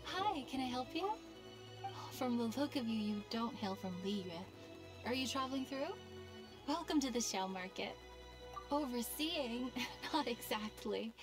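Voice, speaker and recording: A woman voicing a modest, helpful female festival organizer in a video game, polite and easygoing. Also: a little high.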